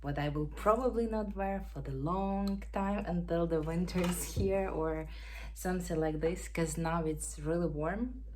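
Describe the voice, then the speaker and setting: A woman with a Ukrainian accent hosting a knitting podcast from home, chatting casually and pausing to search for words in English. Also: lowish voice, dark tone. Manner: unhurried, searching for words, non-native grammar. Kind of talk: casual show-and-tell chat. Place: home in Denmark. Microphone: camera.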